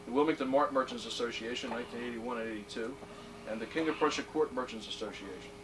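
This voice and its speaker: A middle-aged man presents at an induction ceremony, genial and fond of joking asides as he reads out a plaque. His voice sits at an average pitch. He is heard from a distance, with clear background noise from the room. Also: quick pace. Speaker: presenter at a hall of fame induction. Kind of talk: ceremony speech. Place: ceremony hall. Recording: distant mic, noisy room.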